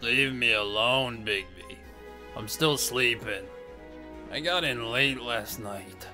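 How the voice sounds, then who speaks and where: A man's voice: mid-range voice, takes it slowly, music in the background, lightly acted. A man voicing an indignant, sophisticated freeloading pig in a comic dub, wordy and self-important. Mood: sleepy, annoyed.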